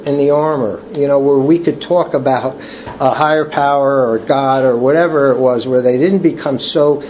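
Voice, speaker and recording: An older man leading a recovery study group, reading from the book and sharing personal testimony in a halting, earnest way with many restarts. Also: medium pitch, steady tone, darkish tone, slight background noise, low-quality recording. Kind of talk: casual talk reading from a book.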